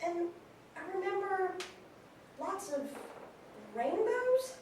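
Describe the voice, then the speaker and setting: An animated young woman, an actress playing a fond sister in a stage monologue. Her high, lively voice tells the story informally, from far off in a very echoey room. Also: takes it slowly, heavily acted. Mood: reflective, fond. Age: 20s. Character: twenty-eight-year-old sister fondly recalling her brother. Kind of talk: conversational monologue in a staged reading. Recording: very echoey room, distant mic, noisy.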